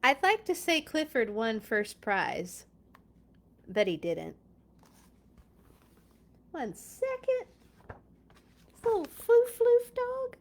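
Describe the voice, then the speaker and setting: A woman reading a children's picture book aloud in an expressive, playful way, with chatty casual asides. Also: high voice, very slow, pauses often.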